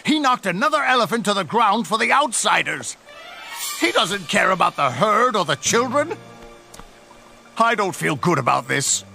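A man's voice: high voice, sing-song, moderate pace, creaky, bright, forceful tone, soft background music, exaggerated voice. A man voicing an old retired male elephant uncle, fretful, tired and loyal. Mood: worried. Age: older.

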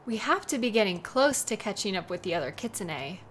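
A woman playing a devoted, worried young woman in an intimate audio roleplay, speaking tenderly and haltingly with a wistful manner. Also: mid-range voice, very animated, a bit bright, slight background noise.

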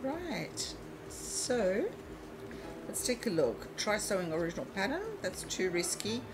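A woman's voice: mid-range voice, big pitch swings, unhurried, background noise. A woman with a mixed, mostly Australian English accent, whose first language is from Eastern Europe, chatting casually as she plays a mobile game and reads its prompts aloud. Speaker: let's play host. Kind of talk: casual gameplay commentary.

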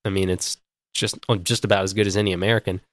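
A male podcast host with a congested, stuffy voice, joking and rambling through casual chat. His voice sits on the low side. The sound is dry and close. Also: little pitch movement, fast, no room sound. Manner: joking, rambling, stumbling over words. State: congested from a cold.

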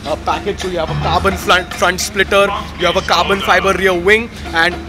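A young man with a bright, high voice, chatting eagerly at an outdoor car show. Loud music plays behind him. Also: steady tone, a little fast. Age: young adult. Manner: enthusiastic, chatty, with filler "uh"s. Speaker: car vlogger. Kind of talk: casual on-camera car walkaround.

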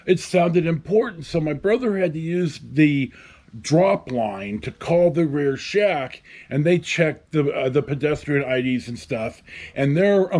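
A man in his fifties hosting a casual storytime to camera, with a halting, wry delivery. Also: high-pitched, a little mellow. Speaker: host. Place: covered outdoor patio at a house.

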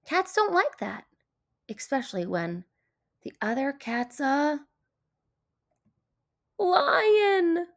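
A bossy, fussy storybook cat, acted out by a woman, laying down rules with indignant emphasis. The pitch leaps about in lively exclamations, and the pace is slow and deliberate, with some creak. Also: heavily acted. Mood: dramatic protest. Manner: emphatic, exclaiming, dramatic delivery. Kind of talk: children's picture book read-aloud.

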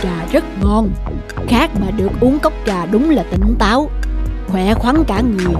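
A woman's voice: mid-range voice, animated, unhurried, over music, close to an everyday voice. Woman as a kindly, thoughtful old traveller. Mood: thoughtful, appreciative. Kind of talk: cartoon dubbing.

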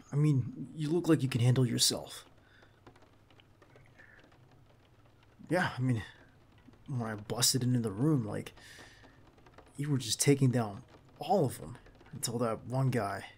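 A man acting a tense, loyal, protective bodyguard in an ASMR roleplay, speaking in a halting, earnest and reassuring way. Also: medium pitch, darkish tone, slightly rough. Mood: modest, easing.

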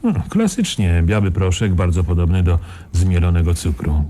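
An actor in a radio crime drama playing a world-weary, sarcastic journalist who knows the drug trade. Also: male voice, deep voice, big pitch swings, moderate pace, warm tone. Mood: sardonic. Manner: dry, sarcastic.

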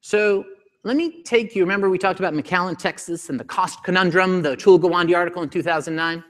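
Man doing a keynote on a big stage, lapel mic, lively, slightly high voice, acts out other people. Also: fairly quick, big-room sound. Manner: animated, acts out doctors' voices, gestures a lot. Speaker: keynote speaker. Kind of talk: conference keynote talk. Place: large conference hall stage.

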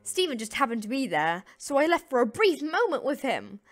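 Frantic, shrill childlike cartoon gem girl. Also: big pitch swings, a little fast. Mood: defiant, angry. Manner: pleading, stammering, shrill outbursts. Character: frantic, childlike female alien gem, desperate for company. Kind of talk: animated comic dub acting.

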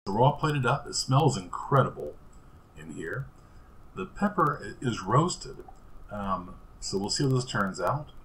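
A man's voice: fairly low pitch, slight background noise. A man hosting a home cooking show in a small kitchen, talking casually with some fillers as he cooks and tastes. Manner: relaxed, chatty, with fillers and restarts.

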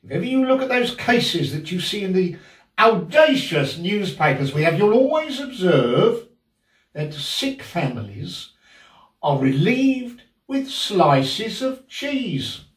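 Pompous older male beadle played by a man, Victorian period comedy. Slow, grandly halting, roomy sound. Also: high-pitched, sing-song, full character voice. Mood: pompous, confiding. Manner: pompous, halting, chuckling delivery. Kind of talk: theatrical period comedy acting. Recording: roomy, distant camera microphone.

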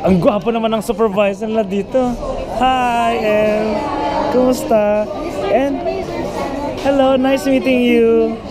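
Filipino vlogger woman, unhurried and bubbly, greeting guests in a crowded food court. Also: on the high side, steady tone, loud music behind the voice. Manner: bubbly, code-switching chatter. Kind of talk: casual greetings on camera. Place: busy food court indoors.

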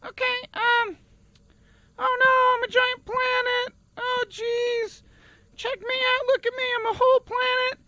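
A man's voice: very high voice, level tone, measured pace, sharp tone, no echo, exaggerated voice. A man voicing an anxious, eager little auditioner who babbles and repeats himself in improvised game comedy. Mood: nervous, eager. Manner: babbling, repetitive, naive.

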